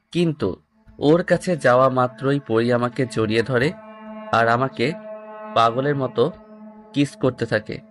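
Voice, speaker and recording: Young man narrating a romance story. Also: medium pitch. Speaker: story narrator voicing the male lead. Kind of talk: first-person scripted romance narration with acted dialogue.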